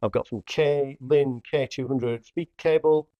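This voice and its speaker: A man's voice: mid-range voice, fairly even intonation, a little slow, no room sound, lo-fi sound. A man giving a casual product demonstration as a hi-fi dealer, plainly explaining each step as he works. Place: indoor hi-fi showroom.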